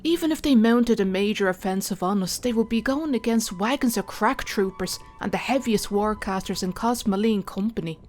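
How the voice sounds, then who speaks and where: A woman's voice: mid-range voice, moderate pace, hardly any pauses, a little mellow, close to an everyday voice. A woman playing a blunt, confident and irritable female soldier, a first sergeant in a fantasy audiobook. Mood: scoffing, confident. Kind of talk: audiobook character dialogue.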